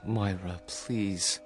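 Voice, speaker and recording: An actor playing a timid, sheltered, lonely man in his thirties in a radio drama, with a creaky voice and a hesitant, meek, halting delivery. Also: deep voice, steady tone, faint music behind. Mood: meek, uneasy. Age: 30s.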